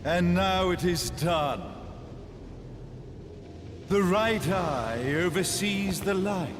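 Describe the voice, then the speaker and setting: A man's voice: high voice, expressive, very slow, noisy background, full character voice. A man playing a grandiose, scheming zealot villain in a video game, with a pompous, ceremonial delivery. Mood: smug, ominous. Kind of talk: dramatic video game cutscene monologue.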